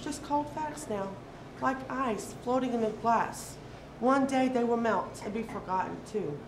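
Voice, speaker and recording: A woman with a fairly high voice reads dramatically and very slowly, pausing between short phrases. The room is echoey, with clear noise behind her. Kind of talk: slow dramatic reading of a literary piece.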